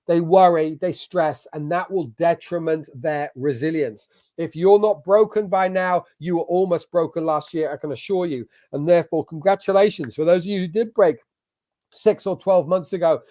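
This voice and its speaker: A man's voice: high voice, mellow. A man with an English accent giving an online masterclass as a business coach, talking in an emphatic, motivational way. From England. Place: at a computer sharing his screen.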